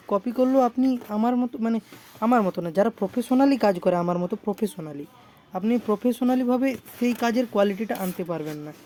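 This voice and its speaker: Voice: mid-range voice, a little mellow. A speaker informally showing repair work as a technician, often repeating themselves and adding little check-in tags. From India. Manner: repetitive, frequent check-in tags. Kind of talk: informal product showcase vlog. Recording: clear background noise.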